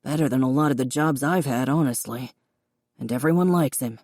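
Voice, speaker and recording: Woman playing an older father, storybook dialogue, very low, soft, earnest, remorseful, loving. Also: brisk, no echo, studio quality. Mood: tender, proud. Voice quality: reedy, quiet. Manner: earnest, gentle. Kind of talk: audiobook character dialogue.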